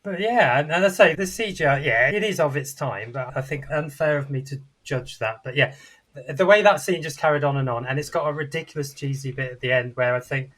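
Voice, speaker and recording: A man's voice: fairly high pitch, a little fast, fairly bright tone, rough recording. A man chatting casually and enthusiastically as a co-host reviewing films, in a rambling way. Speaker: podcast co-host and film reviewer. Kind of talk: casual film review chat.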